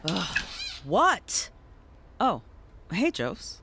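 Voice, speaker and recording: A woman's voice: mid-range voice, wildly swooping pitch, extremely slow, noisy background, exaggerated voice. A woman playing a laid-back, cynical drug dealer in an audio drama, speaking in slangy, blunt, teasing language. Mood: casual. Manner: slangy, drawling, blunt, philosophizing. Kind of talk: naturalistic audio drama acting.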